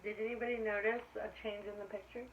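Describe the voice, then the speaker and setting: A woman reading a picture book aloud to young children like a teacher, with expressive storytelling delivery. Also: mid-range voice. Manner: expressive storytelling, pausing to ask children questions. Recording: dull lo-fi sound with clear background noise.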